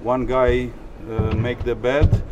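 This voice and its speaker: A man interviewing inside a small caravan at a trade fair, speaking haltingly with many fillers and non-native phrasing. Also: mid-range voice, flat delivery, lo-fi sound, noisy background. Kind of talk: casual walkthrough interview.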